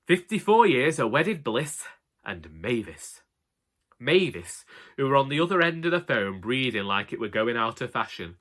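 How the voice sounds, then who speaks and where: A man's voice: medium pitch, moderate pace. A man voicing a wry, long-suffering elderly husband with a Northern English accent in a colloquial comic audiobook monologue. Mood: grumbling, wry. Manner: colloquial, wry, deadpan grumbling. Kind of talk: first-person comic monologue, audiobook narration.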